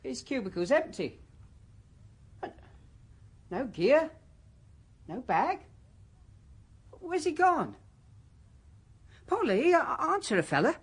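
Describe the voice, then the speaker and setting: An actor playing a loyal, practical young shop assistant in a period radio drama. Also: male voice, extremely high pitch, very animated, slow pace, long pauses, exaggerated voice. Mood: excited, anxious.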